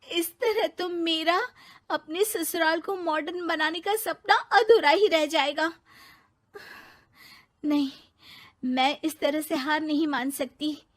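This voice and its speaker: Woman playing a high-voiced young city daughter-in-law. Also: steady tone, slow pace, long pauses. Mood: earnest.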